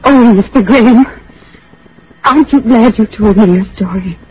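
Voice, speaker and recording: A woman's voice: a little high, moderate pace, slightly rough. An actress playing a devoted, anxious woman in an old-time radio drama, speaking with earnest, pleading emotion. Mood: earnest, urgent. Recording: muffled, hissy vintage radio recording.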